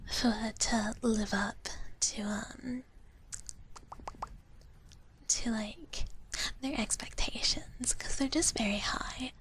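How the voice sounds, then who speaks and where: A woman voicing a gentle, shy dragon big-sister streamer, whispering breathily and haltingly in a soft ASMR chat. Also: fairly high pitch, a little hiss or hum, rough recording. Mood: soft, hesitant. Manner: hesitant, halting, soft-spoken, refers to herself in third person. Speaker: ASMR livestream host.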